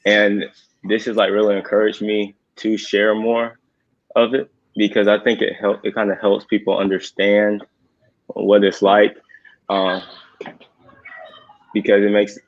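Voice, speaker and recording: A young man, a recent high school graduate, speaking haltingly as a guest in a panel discussion. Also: flat delivery, lo-fi sound. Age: young adult. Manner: halting, many fillers. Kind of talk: casual discussion.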